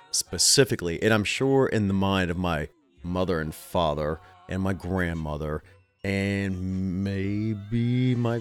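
A man in his thirties with a stuffy, nasal voice, telling a rambling story as a show host and often stopping to restart his sentences. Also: sits low. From US Southeast. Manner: rambling, self-interrupting, chuckling. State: stuffy nose. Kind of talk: casual storytelling. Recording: music playing behind.

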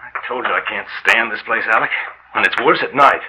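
A man's voice: lowish voice, a little fast, close to an everyday voice. An actor playing a skeptical, uneasy, tough-talking man in 1940s radio drama, blunt and exasperated. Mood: irritated unease. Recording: slightly noisy old radio recording.

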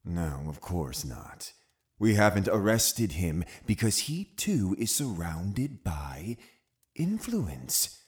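An actor playing a cunning, zealous Puritan uncle in an audio drama, commanding and condescending with deliberate phrasing. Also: male voice, low voice, big pitch swings, exaggerated voice. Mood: scornful, brooding. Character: cunning, zealous Puritan uncle, a menacing witch-hunting authority. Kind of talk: full-cast audio drama acting.

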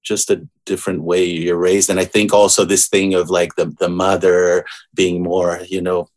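Deep-voiced man in his forties from Brazil. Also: flat delivery, darkish tone. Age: 40s. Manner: thoughtful, halting, with many restarts. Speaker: guest composer. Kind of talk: casual interview conversation.

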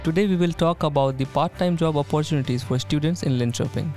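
A man hosting a casual student podcast interview, friendly and often pausing on "uh" fillers. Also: a little high, darkish tone, faint music behind. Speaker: podcast host.

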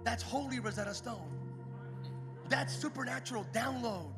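A man preaching a Pentecost sermon as pastor, moving from quiet, conversational storytelling to loud, shouted exhortation. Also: very high-pitched, moderate pace. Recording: music playing behind the preaching.

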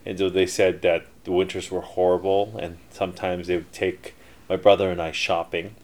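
Deep-voiced middle-aged man, rambling. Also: level tone, moderate pace. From Canada. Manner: rambling, many fillers and restarts. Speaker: interview guest, entrepreneur. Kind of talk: casual interview storytelling.

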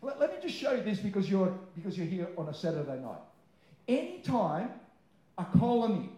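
Male preacher, English as second language, high voice with a soft-edged mellow tone; emphatic sermon delivery that stretches key words. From born abroad, moved to Australia aged nine. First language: not English; English is a second language. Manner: emphatic, stretching key words, then quick informal asides; rhetorical questions to the listeners. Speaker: pastor preaching. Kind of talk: sermon to a live congregation. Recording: roomy, echoing live sermon sound.

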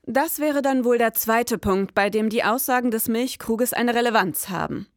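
An actress playing a precise, sober detective in a scripted noir audio drama, speaking in formal, matter-of-fact wording. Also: female voice, fairly high pitch, normal speed, dry recording, clean studio sound, close to an everyday voice.

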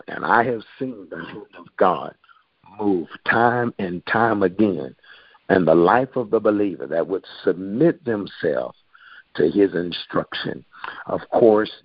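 A low-voiced man preaching to his congregation over a phone call, leaning on old-style scripture with emphasis. The sound is dry and dull, with no room around it. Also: no echo. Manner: preacherly, quotes King James scripture with emphasis. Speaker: pastor and prophet.

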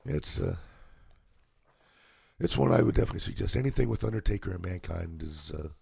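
A hoarse man with a deeply gravelly, low, dark voice, co-hosting a laid-back wrestling chat. He reads through a list of anniversaries in an easygoing way. The sound is dry and close. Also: a little fast, dry recording. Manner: relaxed, reads off a list of dates. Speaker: podcast co-host.

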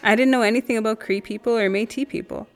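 A woman's voice: fairly high pitch, fairly even intonation, fast, faint music behind, lightly acted. A woman acting in an audio drama as a candid, self-mocking friend chatting casually. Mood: reflective. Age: adult. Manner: casual, wry, frank. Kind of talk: naturalistic audio drama dialogue.

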